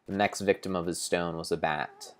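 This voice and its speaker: A man improvising a mock-epic dramatic narration of a video game, grandiose and theatrical, with pauses and self-corrections. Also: low-pitched, steady tone.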